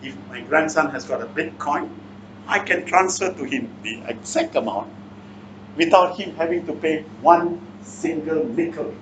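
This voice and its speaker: Male seminar speaker, Malaysian or Singaporean accent, blunt and quizzing, higher-pitched voice, distant mic in an echoey room. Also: expressive, a little slow, background noise. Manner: blunt, interactive, repeatedly challenges listeners with questions. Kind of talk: motivational seminar talk, quizzing the audience.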